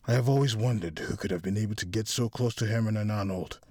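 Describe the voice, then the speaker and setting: A man voicing a cold, scornful, vengeful mob enforcer in a crime story, with a deep, hoarse voice. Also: quick pace, darkish tone, no echo, studio quality, lightly acted.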